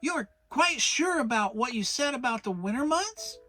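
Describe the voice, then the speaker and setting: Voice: high voice, lively intonation, normal speed, sharp tone, soft background music, voice pushed up. A narrator voicing a small, timid, anxious male piglet with a hesitant stammer, in a children's storybook reading. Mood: nervous.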